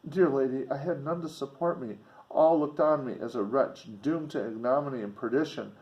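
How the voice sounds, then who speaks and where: A man's voice: medium pitch, normal speed, darkish tone, pitched above the performer's own voice, lightly acted, rough recording. A man voicing a pious, wretched young woman condemned to die in a gothic novel, pleading in anguished, old-fashioned speech. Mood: remorseful, desperate.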